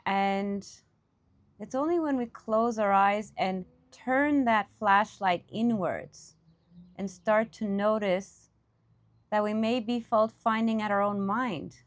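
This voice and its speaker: A woman's voice: medium pitch, slow. Woman guiding a meditation. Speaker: meditation teacher. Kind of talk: guided meditation talk, spoken off the cuff.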